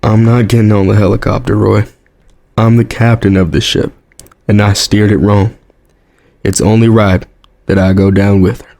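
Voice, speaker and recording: A weary American president in an audio drama, low-pitched and grave. He is stubborn and resolute, weighed down by guilt. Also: little pitch movement, normal speed, creaky, long pauses, dark tone. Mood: resigned, firm.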